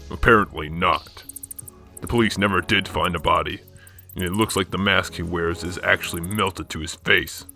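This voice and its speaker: Man playing a deep, gravelly brooding vigilante. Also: steady tone, moderate pace, bright, forceful tone, faint music behind, full character voice. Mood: grim, pondering. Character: brooding, grim masked vigilante detective, adult man. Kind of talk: radio drama acting and scripted narration.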